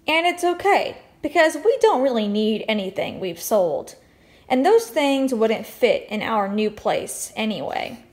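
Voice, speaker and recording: A woman's voice: fairly high pitch, darkish tone. A woman reading a storybook aloud expressively as a teacher, with explanatory asides and questions for children.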